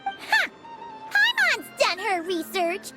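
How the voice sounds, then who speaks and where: A woman voicing a tiny, childlike, excitable know-it-all companion creature in a video game. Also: extremely high pitch, takes it slowly, over loud music, exaggerated voice. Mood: excited, boastful. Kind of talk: video game character dialogue.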